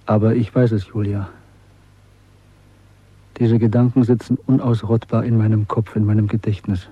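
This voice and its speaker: A bitter middle-aged secret rebel in a radio play, intense n deliberate, bit husky, dull dry sound. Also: male voice, fairly low pitch, level tone, warm tone, no echo. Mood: intense, defiant. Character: disillusioned, bitter man of nearly forty, secretly rebellious clerk. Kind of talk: German radio drama acting. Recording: dry, muffled old radio production.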